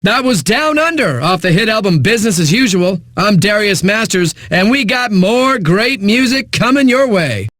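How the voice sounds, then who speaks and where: A smug, breezy eighties music DJ for a game radio station. His voice sits high and moves around a lot. The sound is very dry and close, and the patter is hyped with a sardonic edge. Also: very animated, moderate pace, hardly any pauses, fairly bright tone, dry recording, exaggerated voice. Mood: wry, breezy.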